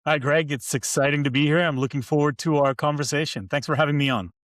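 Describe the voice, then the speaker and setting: A man's voice: a little high, fast talker, fairly bright tone, dry recording. Fluent older man, dry close sound. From Hong Kong. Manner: thoughtful, many fillers and restarts. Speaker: podcast guest. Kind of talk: conversational interview answers.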